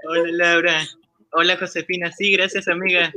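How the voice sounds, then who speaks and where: A man's voice: high voice, little pitch movement, moderate pace, bright, forceful tone. A man playing an eager, sweet and polite little boy in an amateur radio drama.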